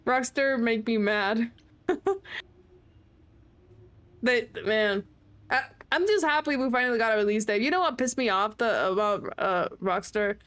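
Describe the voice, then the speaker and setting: Chatty young woman streamer, slightly high voice. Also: a little slow, long pauses, a bit bright. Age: late 20s. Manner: chatty, self-mocking, swearing freely. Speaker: game streamer. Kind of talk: casual livestream chat.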